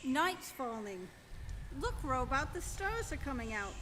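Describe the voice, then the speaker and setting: An actor playing an earnest, homesick human space traveller in a live radio play. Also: male voice, very high voice, big pitch swings, measured pace, heavily acted. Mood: wistful. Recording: noisy, reverberant hall.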